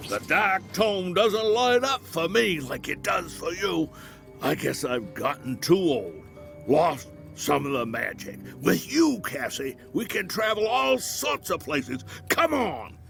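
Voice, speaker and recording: An actor playing an aging bookshop owner in an audio drama, wry and pleading with a familiar way of talking. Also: male voice, high-pitched, very animated, takes it slowly, creaky, fairly bright tone, soft background music, heavily acted. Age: older.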